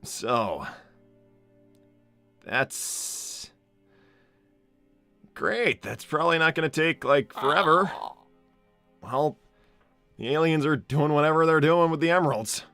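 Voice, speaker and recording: A man's voice: on the high side, lively intonation, slow pace, pauses often, a bit bright, no room sound, heavily acted. A man playing a cocky but kindly young hedgehog hero, stammering and rambling casually in improvised game role-play. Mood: resigned. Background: music.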